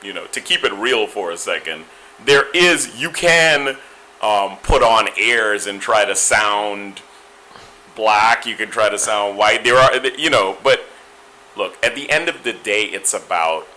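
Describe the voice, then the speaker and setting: A middle-aged man from Guyana with a Caribbean accent, answering interview questions in a reflective, measured way, with frequent restarts. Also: lowish voice, lively intonation, sharp tone, rough recording. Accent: Caribbean, Guyanese and Trinidadian. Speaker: interview guest.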